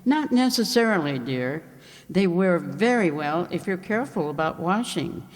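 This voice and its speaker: A woman playing a kindly, practical aunt in an old-time radio commercial, gently instructive and reassuring. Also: on the low side, expressive, moderate pace, in a large hall, close to an everyday voice, rough recording. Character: kindly, practical aunt giving household advice. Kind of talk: 1940s radio commercial acting.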